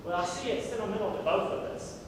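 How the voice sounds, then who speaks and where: Guy acting grumpy sarcastic stage character, high voice, far from mic with clear rumble noise. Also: quick pace, lightly acted. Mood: exasperated. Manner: sarcastic, exasperated. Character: exasperated, sarcastic man hunting for a lost thingamabob. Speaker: amateur stage actor. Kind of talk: community theatre comedy acting. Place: theatre stage, distant microphone. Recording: noisy echoey hall from far off, rumble.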